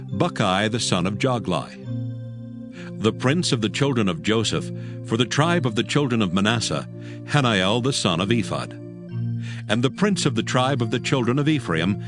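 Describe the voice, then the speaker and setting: Low-voiced man narrating scripture expressively. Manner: formal, solemn reading. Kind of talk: scripted dramatized Bible narration.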